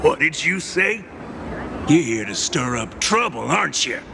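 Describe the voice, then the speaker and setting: A man voicing a rough, suspicious male gangster thug in a game, blunt and barking, over background music. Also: a little high, sing-song, unhurried, full character voice. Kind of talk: game voice acting. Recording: game audio with loud music.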